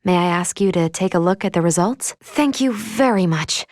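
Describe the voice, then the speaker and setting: A woman playing a polite, earnest female android in a video game, speaking in formal, precise, measured phrases. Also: on the low side, expressive, a little mellow, dry recording, studio quality. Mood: eager, polite.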